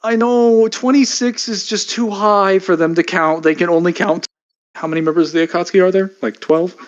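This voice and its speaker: A man co-hosting a casual stream, joking, laughing often and cutting in with quick repeated words. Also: high-pitched, fairly even intonation. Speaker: stream co-host. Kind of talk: casual banter reading a blog. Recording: slightly dull lo-fi sound.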